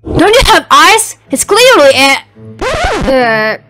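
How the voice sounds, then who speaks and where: Fussy cartoon bird fancier, male, played by a woman: high, indignant, wildly animated. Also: moderate pace, soft background music, poor audio. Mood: exasperated. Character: exasperated, pedantic eccentric man who loves pigeons.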